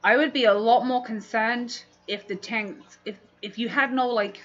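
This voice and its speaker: A woman's voice: fairly high pitch, steady tone, normal speed, a bit bright. London woman chatting on a muffled stream. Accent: London English. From London, England. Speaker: livestream host, fishkeeping hobbyist. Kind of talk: casual livestream chat answering viewers. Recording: dull, muffled livestream audio.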